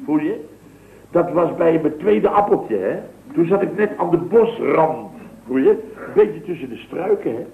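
A man's voice: a little high, animated, measured pace, creaky. A man telling a rambling, mock-pompous hunting anecdote with a dry delivery in a scripted radio comedy sketch. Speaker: comic storyteller. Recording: tinny hissy old radio broadcast, roomy.

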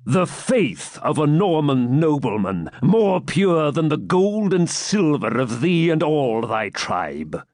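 A man voicing a cruel, sarcastic baron who speaks sternly and slowly. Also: fairly high pitch, big pitch swings, a little mellow, no room sound, studio quality, voice pushed up, heavily acted. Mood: menacing. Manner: stern, slow, menacing.

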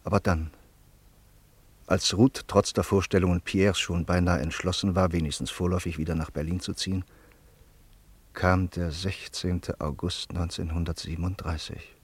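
Low, husky male radio narrator. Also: moderate pace. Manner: sober, steady. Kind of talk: scripted radio drama narration.

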